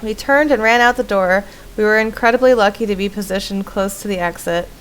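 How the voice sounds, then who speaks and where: A woman's voice: mid-range voice, brisk, fairly bright tone, smooth, poor audio. A woman streaming a horror visual novel, reading it aloud with dramatic storytelling and irreverent casual asides, music behind her. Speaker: game streamer. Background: faint music.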